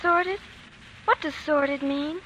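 A woman's voice: high voice, measured pace, a bit bright, poor audio. An actress playing a sensitive, fiercely loyal grieving schoolgirl in an earnest, emotional 1940s radio drama style. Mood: subdued, puzzled. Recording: vintage 1940s radio, faint noise.

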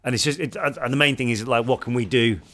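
A man's voice: medium pitch, fast, sharp tone. A man co-hosting, answering viewer questions in casual chat, upbeat and sincere.

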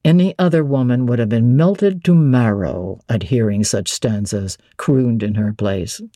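Woman doing a brooding gentleman fiance from a classic novel, deep soft pleading voice, very dry recording. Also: unhurried, no echo. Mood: coaxing. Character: brooding gentleman bridegroom, wounded, coaxing and indignant. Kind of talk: dramatic classic novel reading.

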